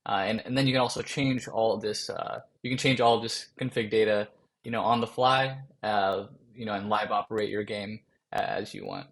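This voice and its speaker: A man presenting a software workshop, talking casually through a screen-share with many fillers, his voice getting rough after a long day of calls. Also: lowish voice, steady tone, normal speed. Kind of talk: screen-share coding tutorial.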